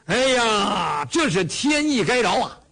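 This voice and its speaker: A man puts on a brash young bandit hero who bellows and blusters, his husky voice pushed high and swinging wildly. He is blunt and loud in every line. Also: sing-song, normal speed, heavily acted. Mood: blustering.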